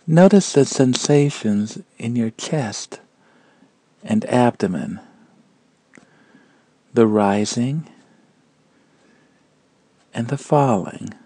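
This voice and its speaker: A man guiding a meditation in a calm, measured and gentle manner, leaving long pauses between phrases. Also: mid-range voice, dry recording. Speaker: meditation guide. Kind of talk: guided meditation narration.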